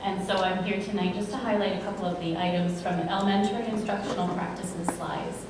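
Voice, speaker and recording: A woman school principal giving a prepared, measured presentation at a school board meeting. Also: fairly low pitch, steady tone. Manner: measured, explanatory. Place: school auditorium. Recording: echoey hall from far off, noisy.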